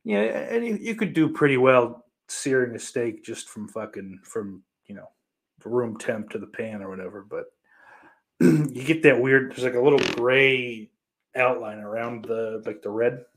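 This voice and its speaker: A man's voice: medium pitch, animated, unhurried. A man livestreaming in casual chat, rambling and swearing freely while reading out messages from his viewers. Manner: profane, rambling, self-deprecating. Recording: roomy echo.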